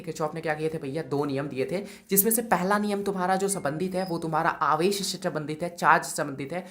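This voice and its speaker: A man with a sore throat, teaching physics exam answers in a chatty way, often checking in with students and calling them brother. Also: fairly high pitch. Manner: chatty, repeats "okay" and calls students "brother". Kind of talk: exam-prep lesson.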